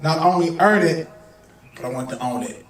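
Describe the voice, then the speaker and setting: A hoarse man from Detroit, a singer, talking loosely and directly to a gathered audience in slangy, conversational speech. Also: fairly high pitch, moderate pace, a little hiss or hum. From Detroit, USA. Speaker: singer and guest speaker. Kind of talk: casual heartfelt talk to an audience. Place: gathering in Malibu. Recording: echoey room, distant mic, music behind.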